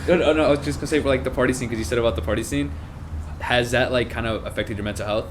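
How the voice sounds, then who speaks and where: A man's voice: medium pitch, racing, background noise. A man hosting a casual podcast, jumping in eagerly with his questions.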